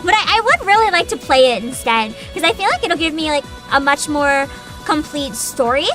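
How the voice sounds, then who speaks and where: A woman's voice: sits high, expressive, normal speed, music in the background. A woman hosting a livestream, chatting informally to her viewers and giving her opinions, often humming between thoughts. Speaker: livestream host.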